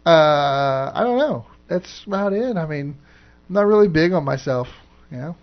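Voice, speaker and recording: A man's voice: a little high, expressive, moderate pace. A man co-hosting a casual internet radio talk show, laid-back and modest, laughing often. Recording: dull lo-fi audio.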